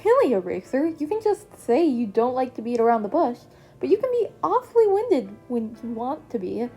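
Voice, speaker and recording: Cast: woman voicing a teasing young ninja hero teacher, higher and bouncy, warm tone, faint music behind. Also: sing-song, moderate pace, husky, full character voice. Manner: playful, teasing. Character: cheerful energetic masked male ninja hero teacher.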